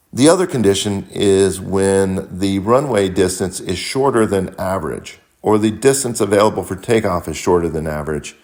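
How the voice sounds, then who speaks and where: Male narrator, low-pitched, delivering careful step-by-step instructional voice-over as an experienced pilot. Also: a little mellow. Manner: measured, methodical, instructional. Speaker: pilot and flight mentor, video host. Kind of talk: scripted explanatory narration.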